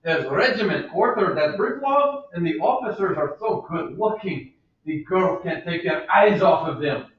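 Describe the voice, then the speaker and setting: A fussy old servant pleads with his mistress, played by a man, coaxing and scolding with a high, lively voice. He keeps circling back to the same worry. The sound is distant and echoey. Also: moderate pace. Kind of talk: amateur play reading. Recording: echoey room, far from mic, dull.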